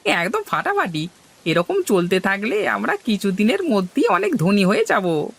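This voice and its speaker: A woman voicing an eager, money-minded villager in a cartoon, with an animated delivery. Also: mid-range voice, normal speed, gravelly, slightly rough, exaggerated voice. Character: eager villager pond owner keen on money. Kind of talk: dramatised cartoon dialogue.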